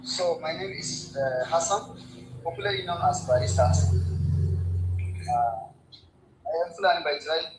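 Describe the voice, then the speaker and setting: A man's voice: on the high side, measured pace, long pauses. A man from northern Nigeria with a Nigerian accent answering interview questions, hesitant and often correcting himself. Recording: echoey room with faint background noise.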